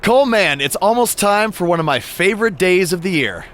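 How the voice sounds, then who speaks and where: A man's voice: sits high, animated, fairly quick, fairly bright tone. A man playing an affable, easily exasperated veteran wrestler bantering as a show co-host in a game cutscene.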